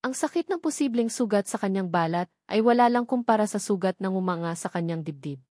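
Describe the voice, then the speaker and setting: A woman's voice: medium pitch, animated, a little fast, a little mellow, no room sound, clean studio sound. A woman storyteller giving a scripted dramatic narration in an emotive, solemn way.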